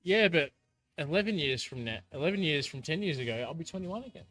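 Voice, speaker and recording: A drunk man in his twenties rambling and joking deadpan in casual banter on a vlog. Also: fairly high pitch, fast. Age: 20s. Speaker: vlog co-host.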